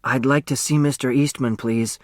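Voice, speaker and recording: A man's voice: mid-range voice, steady tone, normal speed, dry recording, studio quality, lightly acted. A man voicing a clever, nervy and secretive young girl in a storybook. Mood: nervous.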